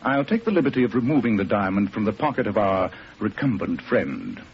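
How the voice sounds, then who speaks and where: A commanding English detective, precise and imperious, with animated intonation. It is 1940s radio acting on a dull, faintly noisy old recording. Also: male voice, on the low side, fairly quick. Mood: confident.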